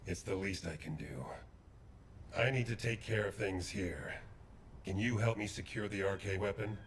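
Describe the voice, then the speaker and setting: A man voicing a very deep-voiced calm soldier. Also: normal speed, slight background noise, close to an everyday voice. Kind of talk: video game dialogue.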